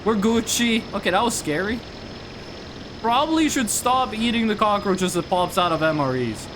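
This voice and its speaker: A young man chats on a live stream while he plays a game, rambling and starting his sentences over. His voice sits high and swings widely in pitch. Faint game noise runs underneath. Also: lively intonation, moderate pace. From northern Norway. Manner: rambling, restarts sentences, reads chat aloud. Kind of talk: casual live-stream chat while gaming.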